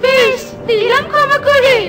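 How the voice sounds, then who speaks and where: A woman voicing a caring, earnest young female bird in a children's cartoon, squeaky and pleading. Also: steady tone, measured pace. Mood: gentle scolding. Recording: loud music behind the voice.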